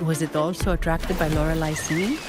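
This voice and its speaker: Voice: mid-range voice, fairly even intonation, brisk, natural voice. An actor voicing a friendly, upbeat young adventurer in a video game, speaking in a reassuring way. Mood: curious, cheerful. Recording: game audio under music.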